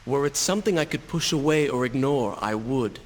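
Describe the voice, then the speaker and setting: A man's voice: medium pitch, expressive, normal speed, a little hiss or hum, close to an everyday voice. A man playing an earnest, brooding young warrior prince in a video game, solemn and dramatic. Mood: reflective.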